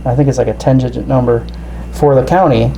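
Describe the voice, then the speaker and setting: A man giving a casual, halting, explanatory product demonstration as a reviewer. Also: mid-range voice, steady tone, creaky, dark tone, slight background noise, rough recording.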